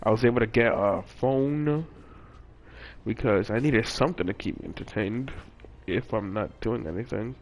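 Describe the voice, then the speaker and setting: A man's voice: fairly low pitch, normal speed, slight background noise. A young man casually telling a personal story in a rambling way, with many fillers and restarts. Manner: rambling, with frequent fillers and self-corrections. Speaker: vlogger.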